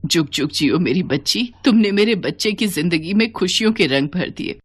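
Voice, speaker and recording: A woman's voice: on the low side, animated, normal speed, husky, no echo. A woman voicing a kindly, protective older village mother in a story drama. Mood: blessing.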